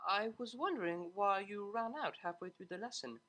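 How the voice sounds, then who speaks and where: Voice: medium pitch, normal speed, sharp tone, pitched above the performer's own voice, lightly acted. A narrator voicing a refined young female pony cellist who is prim and a little awkward, speaking formally and hesitantly in an audio storybook. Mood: apologetic, awkward. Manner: proper, hesitant, formal wording.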